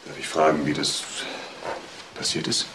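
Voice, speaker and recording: An actor playing a shaken department manager with a polite, formal manner in a German crime radio drama. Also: male voice, very deep voice, monotone, moderate pace, pushed and bright, heavily acted. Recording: noisy room with clicks.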